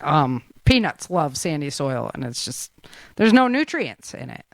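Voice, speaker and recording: Woman podcast host, lowish voice, chatty n rambling, really dry sound. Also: very animated, a little slow, no room sound. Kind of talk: casual interview chat.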